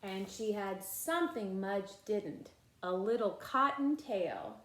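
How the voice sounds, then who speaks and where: A woman storyteller reads a picture book for young children at an unhurried pace. Her voice sits at a medium pitch, and she draws out words with expressive emphasis, dropping in casual asides. The room around her is noticeably echoey. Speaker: read-aloud storyteller.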